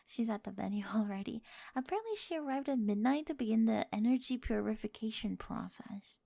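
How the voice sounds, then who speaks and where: A woman voicing a quirky, obsessive young bride in a soft ASMR roleplay, precise and analytical, often whispering. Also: fairly high pitch, animated, brisk, creaky, dry recording, studio quality. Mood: earnest, affectionate. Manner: precise, analytical, jargon-heavy speech. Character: quirky, obsessive, nerdy young bride devoted to her partner. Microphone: close, dry microphone.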